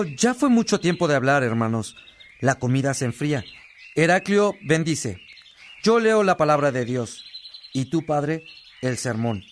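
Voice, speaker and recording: A man playing a practical monk who reads scripture in a radio drama, declamatory and deliberate. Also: fairly high pitch, slight background noise. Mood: brisk, hospitable. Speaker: actor in a religious radio drama. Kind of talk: acted radio drama and solemn scripture reading.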